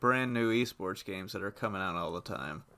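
A man's voice: sits low, normal speed, bright, forceful tone. A man co-hosting a casual gaming podcast, speaking in a conversational, somewhat tentative way. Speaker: podcast co-host.

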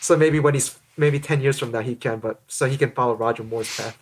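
A man in his thirties talking casually as a podcast guest, relaxed and hedging with fillers. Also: medium pitch, a little fast, a little hiss or hum. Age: 30s.

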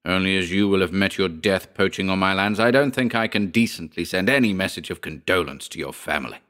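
A man's voice: sits low, a little fast, fairly bright tone. A man voicing a proud aristocratic landowner with a fierce, haughty manner in a storybook tale. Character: proud, fierce aristocratic landowner, indignant at his trapped enemy.

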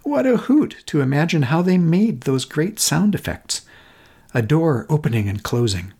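A middle-aged man from Minnesota with an American accent, reading a personal memoir essay in a measured, literary storytelling manner. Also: a little high, sing-song, mellow, slight background noise. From Minnesota, United States. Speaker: podcast host.

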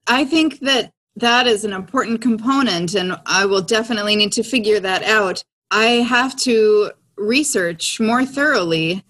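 A woman improvising in character on a tabletop roleplay podcast, speaking in a measured, somewhat formal manner. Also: medium pitch, fairly bright tone. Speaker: player in an actual-play audio drama podcast.

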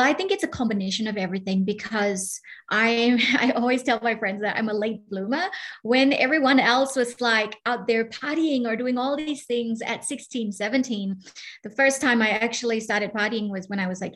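Higher-pitched woman from Burma telling her story. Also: a bit bright. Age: 30s or older. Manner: conversational, quotes imagined dialogue, says "like" often. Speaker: podcast guest, coach and entrepreneur. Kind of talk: casual personal storytelling in an interview.

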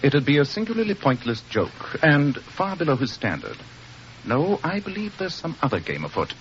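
A man's voice: on the high side, sing-song, normal speed, a bit bright. An actor playing a brilliant, aloof male detective with a dry, formal, assured manner in an old radio mystery drama. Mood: intent. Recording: muffled, noisy old radio recording.

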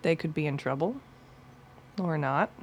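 A woman's voice: low voice, a little slow, slightly rough, heavily acted. An actress playing a weary, guarded young woman on the run who talks bluntly and swears. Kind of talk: radio play acting.

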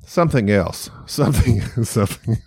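A middle-aged man with a nasal voice hosts his podcast alone and wanders from thought to thought. His pitch rises and falls in lively swings. The recording is close and dry, with no room sound. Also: moderate pace, husky, no room sound. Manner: rambling, self-mocking, chatty asides. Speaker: podcast host. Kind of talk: solo stream-of-consciousness podcast.

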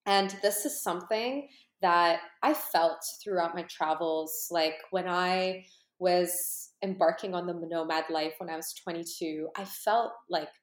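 A woman's voice: on the low side, a little slow. A woman in her thirties from Canada, a life coach and retreat host, talking earnestly to her followers and rambling with lots of "you know" and "like". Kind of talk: personal monologue to followers. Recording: roomy echo.

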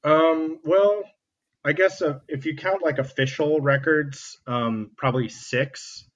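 A man's voice: fairly high pitch, steady tone, slow pace. A man, a musician, answering as a guest in a casual remote interview, hesitant and laid-back. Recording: slightly dull remote call audio.

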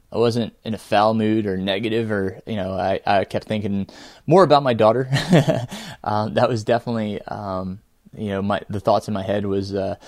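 A middle-aged man with a fairly low voice talks through a personal audio journal. He speaks in a halting, reflective way, pausing often to find his words, and the sound is close and dry. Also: darkish tone, dry recording. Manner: halting, hesitant, with frequent filled pauses and small chuckles. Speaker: podcast host and amateur ultrarunner.

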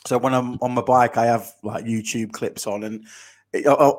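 A man's voice: medium pitch, steady tone, normal speed. A young man hosting a podcast, asking questions in a chatty, frank way. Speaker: podcast host. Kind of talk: casual interview chat.

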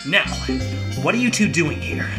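A man playing a grumpy, gruff, easily riled guardian creature in a radio play. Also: fairly high pitch, animated, moderate pace, lightly acted. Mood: furious. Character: grumpy, hot-headed male guardian creature. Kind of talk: scripted radio play acting. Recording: loud music behind the voice.

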